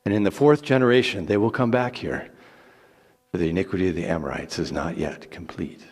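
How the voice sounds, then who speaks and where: A man's voice: low-pitched, fairly quick, darkish tone, slightly rough, big-room sound, voice pushed down. A man voicing God in a dramatic scripture reading, solemn, authoritative and emphatic in his declarations. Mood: solemn, commanding. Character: God, solemn authoritative male giving promises and commands.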